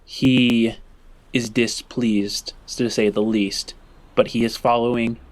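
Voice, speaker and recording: A man narrating a tabletop roleplaying game as game master, with dramatic pauses and deliberate phrasing. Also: medium pitch, level tone, poor audio.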